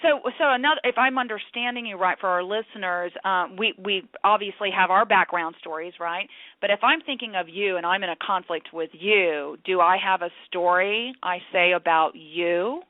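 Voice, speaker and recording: A radio host asking a guest questions, halting and rephrasing as they go. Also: medium pitch, no echo. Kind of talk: interview questions. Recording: tinny phone-like line.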